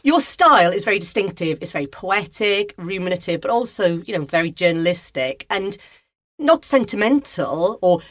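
A woman's voice: medium pitch, animated, normal speed, fairly bright tone, dry recording. A woman in her forties with a Welsh accent hosting a conversational interview, chatty with frequent ums and small laughs. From Wales. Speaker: podcast host. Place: studio.

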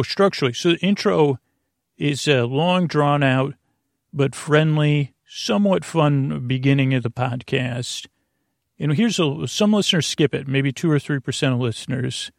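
Gravelly, rambling man hosting a sleep podcast. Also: mid-range voice, no echo, studio quality. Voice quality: gravelly, creaky voice. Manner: halting, stammering, trails off and loses his thread. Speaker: host of a bedtime sleep podcast. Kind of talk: rambling, meandering monologue to lull listeners to sleep.

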